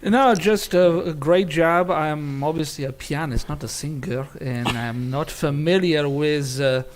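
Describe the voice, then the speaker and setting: A man, a pianist on a jury panel, giving critique in a halting way with frequent restarts. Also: a little high. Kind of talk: spoken critique.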